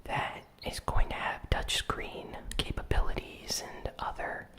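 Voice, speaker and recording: A host whispering a weekly gaming news recap in ASMR style, soft and unhurried with frequent "um" fillers. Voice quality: fully whispered.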